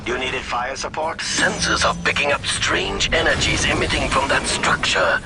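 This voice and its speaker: Resolute, expressive alien commander. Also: male voice, mid-range voice, gravelly, over loud music. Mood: urgent, commanding. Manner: measured, firm, businesslike. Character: resolute alien military commander, tactical, calm and dutiful. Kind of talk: video game dialogue acting.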